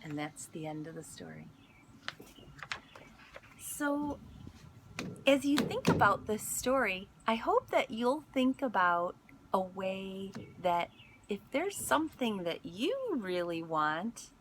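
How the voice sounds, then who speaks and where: A woman reading a picture book aloud in an expressive storytelling manner, pausing to speak to young listeners. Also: mid-range voice, noisy background. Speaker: school instructional coach reading aloud.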